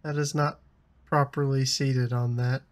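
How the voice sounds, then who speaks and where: A man in his thirties with a slightly raspy voice from a lingering cough, chatting casually over a building game and laughing often. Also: medium pitch, little pitch movement, a little slow. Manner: chatty, laughs often, frequent ums and restarts. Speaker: gaming YouTuber. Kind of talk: casual gameplay commentary.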